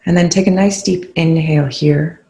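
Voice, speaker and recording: A calm, soothing voice leading a guided meditation in gently spaced phrases. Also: medium pitch, normal speed, creaky. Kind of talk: guided meditation, soft instruction. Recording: roomy, echoing indoor sound.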